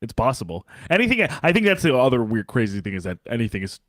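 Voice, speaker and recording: A man's voice: medium pitch, quick pace, no echo, studio quality. Sarcastic man in a sports chat. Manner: animated, sarcastic, many restarts. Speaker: podcast co-host.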